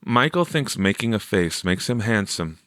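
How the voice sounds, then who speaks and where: A man's voice: fairly low pitch, animated, normal speed, a bit bright, dry recording. An earnest seventh-grade boy , played by a man reading aloud. He is hopeful and plain-spoken, as if musing. The storybook recording is dry.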